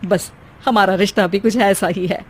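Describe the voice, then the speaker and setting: A woman voicing a bossy, bickering middle-aged mother-in-law who scolds dramatically in an animated family comedy story. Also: medium pitch, moderate pace, slightly rough, pitched below the performer's own voice, natural voice. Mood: defiant, proud. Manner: scolding, dramatic, sharp-tongued.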